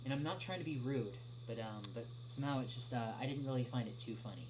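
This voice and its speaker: A young man hosts a casual livestream, reading questions and answering them off the cuff. His medium-pitched voice moves in hesitant stops and starts, full of filled pauses. A clearly audible noisy hum sits behind him. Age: young adult. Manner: halting, hesitant delivery with frequent long filled pauses. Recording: clearly noisy, humming background.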